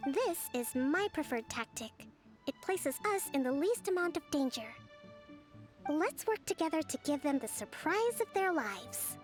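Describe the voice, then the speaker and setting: A woman voicing a poised, courteous young military strategist in a game, speaking in a measured, formal and articulate way. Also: sits high, very animated, soft background music. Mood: pleased. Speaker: game character, army leader. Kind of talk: scripted game dialogue.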